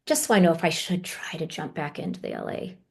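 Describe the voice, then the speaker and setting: Gravelly low woman asking a coach. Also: animated, fast, a little mellow. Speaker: voice actor asking questions. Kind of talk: casual question on a video call. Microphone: video call.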